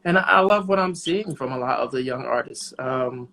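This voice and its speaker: Man, thirties, interview guest, relaxed, reflective, pauses often, mid pitch. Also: poor audio. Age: 30s. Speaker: jazz trumpeter and bandleader, interview guest. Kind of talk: casual interview chat.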